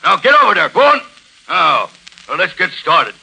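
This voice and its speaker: Tall-tale cowboy, American Western twang, blustering, sharp bright tone, big pitch swings, old radio broadcast. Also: male voice, huge pitch swings, normal speed, gravelly, slightly rough, heavily acted, poor audio. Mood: fierce, blustering. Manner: folksy drawling brag, blustering. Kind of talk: 1940s radio comedy acting. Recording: vintage 1940s radio broadcast.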